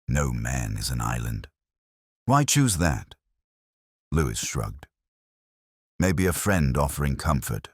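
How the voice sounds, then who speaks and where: Very deep, brooding detective played by a man. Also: dry recording, clean studio sound, full character voice. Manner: measured, literary, brooding. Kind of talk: scripted first-person mystery narration.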